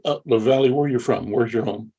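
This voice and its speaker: Older male host, husky and unhurried, slightly muffled recording. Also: mid-range voice, mellow. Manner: measured, with many "uh" pauses. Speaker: interviewer, show host. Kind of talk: reflective interview talk.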